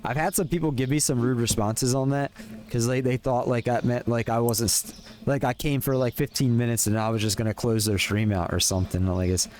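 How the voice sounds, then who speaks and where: A man's voice: medium pitch, steady tone, a little fast, darkish tone. Chatty Philadelphia-accented young man. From Pennsylvania, USA. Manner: rambling, chatty, friendly, lots of fillers. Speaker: streamer. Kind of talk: casual chat with stream viewers.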